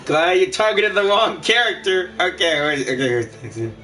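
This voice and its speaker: A man giving casual gameplay commentary, excitable and shouting at good plays, reading card text aloud in a measured way. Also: high voice, faint music behind. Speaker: gaming channel host.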